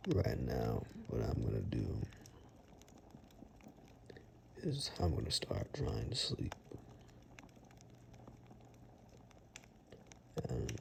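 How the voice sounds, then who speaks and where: A man playing a reclusive, kindly but gruff woodsman in an ASMR roleplay, murmuring haltingly and gently to the listener, sometimes in a whisper, with dry teasing. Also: a little hiss or hum, full character voice. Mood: calm, reassuring. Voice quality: very deep, gravelly. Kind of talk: ASMR roleplay, soft intimate monologue to the listener.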